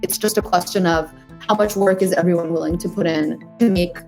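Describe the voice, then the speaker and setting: Earnest young American woman, slightly low voice. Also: fairly even intonation, a little fast, a little mellow, soft background music. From New Hampshire, United States. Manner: earnest, uses many fillers like "like". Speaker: guest. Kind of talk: interview answers.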